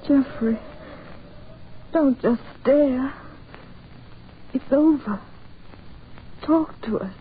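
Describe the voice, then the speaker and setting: A woman's voice: sits high, very slow, pauses often, narrow, tinny audio. An actress playing a frightened, despairing woman in peril in a 1940s radio horror drama. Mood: dazed, pleading. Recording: thin, hissy old radio recording.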